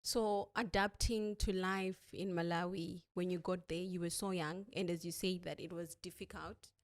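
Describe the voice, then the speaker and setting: A woman hosting an interview podcast, speaking deliberately in short phrases. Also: fairly low pitch, fairly even intonation. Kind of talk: interview with a scripted-sounding introduction.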